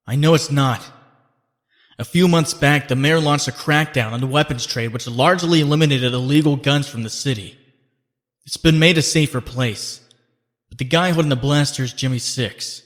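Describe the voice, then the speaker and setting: A man's voice: mid-range voice, normal speed, natural voice. A man playing a young superhero who narrates his inner thoughts in a comic book dub, reflective and confiding. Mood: uneasy, reflective. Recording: long cavernous reverb.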